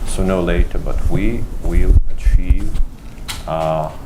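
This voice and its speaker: Very slow, deep-voiced halting man. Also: steady tone. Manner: halting, many pauses and fillers. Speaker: church leader, panelist. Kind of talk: panel remarks. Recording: noticeable background noise.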